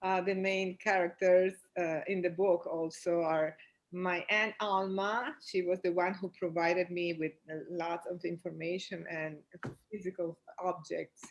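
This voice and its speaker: A woman's voice: lowish voice, flat delivery, unhurried, lo-fi sound. A woman from Venezuela, an author, talking casually and eagerly over a video call. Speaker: author guest.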